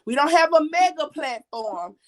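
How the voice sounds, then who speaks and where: Woman host, raspy and high-pitched, chatty and repetitive with her audience. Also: animated, normal speed. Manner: chatty, repeats herself, reads viewer comments aloud. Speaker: livestream host. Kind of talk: casual livestream talk.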